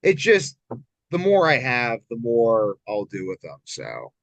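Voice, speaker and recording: A man's voice: medium pitch, wildly swooping pitch, measured pace, gravelly, a bit bright, no echo, muffled recording. A man taking part in a call, chatting casually and breaking in with informal interjections. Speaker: call participant. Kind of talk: casual chat.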